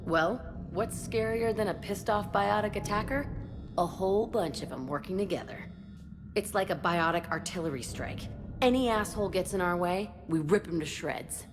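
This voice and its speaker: An actress playing a tough, foul-mouthed, fiercely protective young combat instructor in a video game, brash and sardonic. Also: female voice, medium pitch, lively intonation, moderate pace, background noise, lightly acted. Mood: brash, confiding. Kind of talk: video game dialogue acting.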